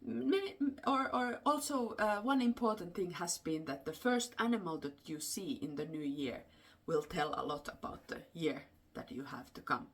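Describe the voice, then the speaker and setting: A woman with a Finnish accent speaks in a low register with plenty of vocal fry. She tells folklore without a script, explaining as she goes. Her delivery is halting, with frequent pauses between short phrases. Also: expressive. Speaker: storyteller and host. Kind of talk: unscripted folklore storytelling.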